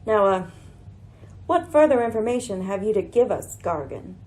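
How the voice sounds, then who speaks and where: A woman playing a coolly commanding lady detective in a radio mystery, measured and probing with dry irony. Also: mid-range voice, animated, a little mellow, natural voice, low-quality recording. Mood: dry, questioning. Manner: measured, probing, dryly ironic. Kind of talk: old-time radio mystery acting.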